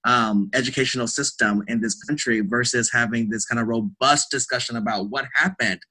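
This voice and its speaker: A man's voice: mid-range voice, a little fast, sharp tone, poor audio. A man speaking casually as an interview guest, restarting thoughts and checking in with "right?" as he reasons aloud. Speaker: podcast guest, theatre artist and academic.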